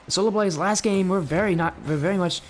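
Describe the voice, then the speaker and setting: Young guy casting a match live, high voice, rambles and talks to chat, bit of noise behind. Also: quick pace. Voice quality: high-pitched. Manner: chatty, rambling, replies to chat mid-play. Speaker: esports caster and streamer. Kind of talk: live game commentary.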